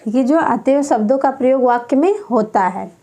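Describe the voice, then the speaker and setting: A woman teacher giving an exam-prep grammar lesson, dictating slowly and repeating each term several times. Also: high voice, animated, warm tone.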